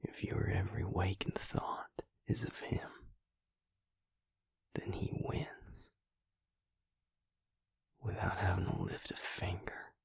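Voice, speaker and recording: A man playing a protective, weary but tender vampire mate in an intimate audio roleplay, speaking in a hushed, breathy, gravelly voice with a deliberate, reassuring manner. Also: low voice, level tone, no echo, in a whisper, heavily acted. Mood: gentle, reassuring.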